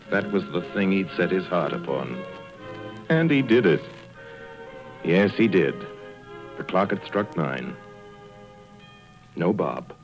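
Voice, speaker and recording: A man narrating a radio drama as a scripted, dramatic storyteller. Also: sits low. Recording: slightly dull recording under music.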